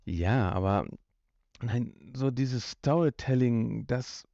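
A man talks casually as a podcast co-host, with a joking manner. His mid-pitched voice has noticeable vocal fry. The recording is dry and a little dull. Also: slow pace, warm tone, no room sound. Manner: joking, mimicking. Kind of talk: casual chat about an audio drama.

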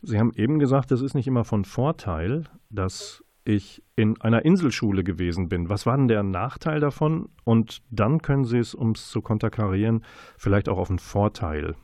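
Low, mellow-voiced male radio host. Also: expressive, moderate pace, dry recording, studio quality. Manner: polite, formal address. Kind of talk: conversational radio interview.

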